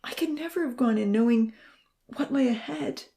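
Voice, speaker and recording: A woman voicing a young burned man who is anguished and crying, his breathy whisper breaking into sobs. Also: a little high, animated, measured pace, mellow, voice pushed up. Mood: choked.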